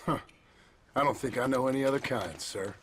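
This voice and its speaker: A man's voice: medium pitch, brisk, a bit bright, a little hiss or hum, close to an everyday voice. A man playing a hardened gunslinger in a game, terse and wry but polite. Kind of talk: dramatic game cutscene acting.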